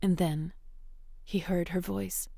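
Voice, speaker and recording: A woman narrating a dark fairytale in measured, suspenseful short phrases. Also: fairly low pitch, fairly even intonation, creaky, no room sound.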